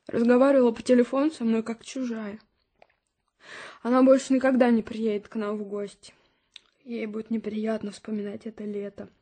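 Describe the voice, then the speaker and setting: Casting: doting, dreamy expectant mother for radio play; lightly high voice, very mellow, husky. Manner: gentle, coaxing, fussing. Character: tender, dreamy expectant mother talking to her child. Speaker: radio drama actress.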